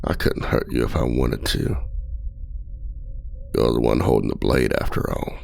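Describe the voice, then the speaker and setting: A man's voice: a bass voice, unhurried, creaky, a little mellow, a little hiss or hum, heavily acted. An actor playing a charming, manipulative male outlaw and escape artist, with a flirty, teasing and smugly condescending manner in an ASMR roleplay. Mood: sweetly pleading.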